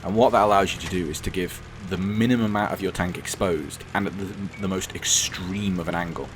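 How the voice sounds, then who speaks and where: Croaky low-voiced man commenting on gameplay. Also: brisk, noisy background. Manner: rambling, self-correcting, blunt opinions. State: croaky, hoarse voice. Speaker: gaming commentator. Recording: game sounds clearly behind the voice.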